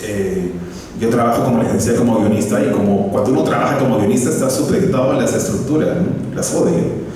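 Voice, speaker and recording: A man's voice: medium pitch, fast talker, warm tone, a little hiss or hum. A man, a comic book writer speaking on a conference panel, hesitant, with many fillers and restarts. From Peru. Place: conference hall. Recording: echoey hall, voice from far off.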